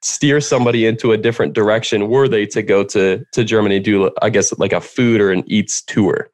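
Podcast host: American man, lowish pitch, chatty and informal interviewing. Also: fairly even intonation, fairly quick, darkish tone. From United States. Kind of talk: casual interview chat.

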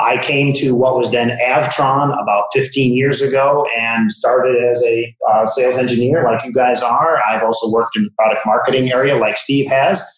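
A clearly roomy recording of a mature man with a medium-pitched voice. He talks conversationally, as a sales director being interviewed. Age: middle-aged or older. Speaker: sales director, podcast guest. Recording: roomy, echoing space.

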